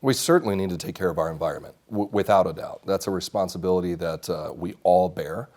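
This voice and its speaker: A man's voice: low voice, fast talker, darkish tone. A man in his thirties who grew up in Mexico, giving earnest, assertive answers as a candidate in a TV studio interview, often restarting his sentences. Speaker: congressional candidate. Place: small TV studio set.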